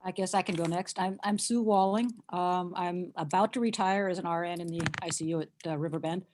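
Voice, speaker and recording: Wry older woman, lowish voice. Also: a little mellow, a little hiss or hum. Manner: wry, self-deprecating, halting. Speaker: nurse and bike advocate. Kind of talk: committee self-introduction.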